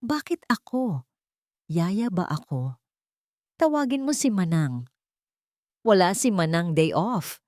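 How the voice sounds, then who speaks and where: A woman's voice: on the low side, big pitch swings, takes it slowly, pauses often, a little mellow, no room sound. Woman playing a snappy filipina mistress, lazy n dismissive, audiobook drama, dry sound. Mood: irritated. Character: lazy, irritated, callous mistress, adult woman.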